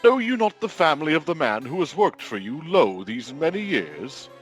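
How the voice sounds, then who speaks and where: An actor playing a hearty, grand Christmas ghost with a declamatory delivery in a radio play. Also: male voice, a little high, sing-song, normal speed, faint music behind.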